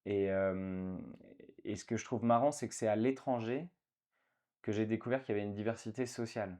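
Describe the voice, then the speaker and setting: A man's voice: on the low side, steady tone, brisk, dark tone. A man in his thirties from France, a guest in a casual interview, talking with many fillers and restarts.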